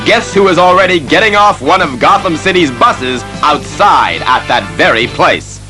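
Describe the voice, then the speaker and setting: Man as breathless-suspense announcer, melodramatic, animated, slightly high male voice, audio drama narration. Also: normal speed, over music, natural voice. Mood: suspenseful.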